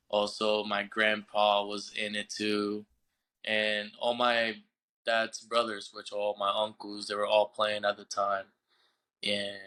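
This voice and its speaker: A young man from Puerto Rico, a baseball player being interviewed on a podcast, telling his story in a halting way and often repeating words as he searches for the English. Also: fairly low pitch, bright, forceful tone, poor audio. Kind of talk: casual interview.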